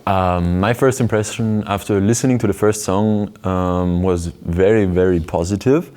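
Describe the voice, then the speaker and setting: Young male interviewee, very deep voice, chatty and rambling. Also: fairly even intonation, moderate pace, dark tone. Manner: chatty, with many fillers and restarts. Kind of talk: casual interview answer.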